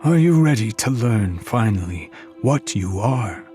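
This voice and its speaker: A male narrator acts out a solemn high priest for a fantasy audiobook. He speaks slowly in a low register, with grave, ceremonial weight. Faint music plays behind him. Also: lively intonation, dark tone. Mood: solemn, inviting. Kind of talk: audiobook character voice.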